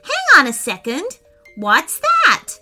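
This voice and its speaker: A woman voicing an earnest, excitable young boy adventurer from a children's storybook, with an urgent manner. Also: high voice, measured pace, music in the background, pitched above the performer's own voice. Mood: puzzled.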